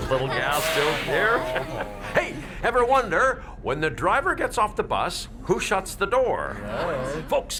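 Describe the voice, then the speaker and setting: Relentlessly upbeat tour guide, swooping delivery. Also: male voice, on the high side, noisy background. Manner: jovial, folksy showman, addresses the group as folks. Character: relentlessly upbeat male veteran tour guide, corny dad-joker. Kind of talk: audio drama acting, tour patter.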